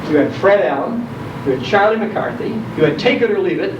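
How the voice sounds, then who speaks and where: An older man with a high-pitched voice gives a nostalgic public talk, leaning on repeated phrases like a keen storyteller. He is heard from a distance in an echoey room, with clear background noise. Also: animated, brisk. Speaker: speaker on old-time radio history. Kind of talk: nostalgic talk to a live audience. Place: indoor program venue, recorded from afar. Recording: dull, distant, echoey hall recording, loud noise.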